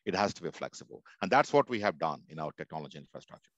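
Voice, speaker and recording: A man's voice: very deep voice, sing-song, racing, a bit bright, no room sound. A man in his thirties on a headset mic, emphatic, stumbling over repeated words as he starts. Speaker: technology leader panelist. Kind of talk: conference panel talk on video call. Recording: dull, dry headset audio.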